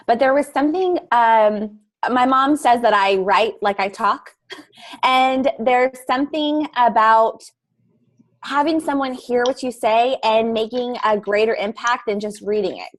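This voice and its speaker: A woman podcaster and blogger chatting casually on a live panel, laughing often at herself and using lots of fillers. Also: a little high. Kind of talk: casual panel chat on a livestream.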